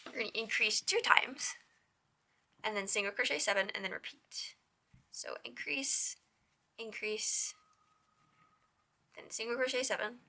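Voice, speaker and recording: A young woman with a hoarse, raspy voice hosts a casual crochet tutorial. She chats with a lot of "like" and counts her stitches in a soft whisper. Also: fairly high pitch, a little slow, long pauses. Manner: chatty, with lots of "like".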